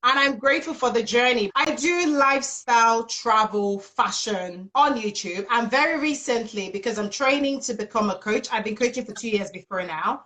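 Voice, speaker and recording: A Nigerian-accented woman in her thirties talks in a casual live interview, her voice big, energetic and expressive. She sits a little high in pitch for a woman and comes through a phone video call. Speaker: HR business partner and YouTuber.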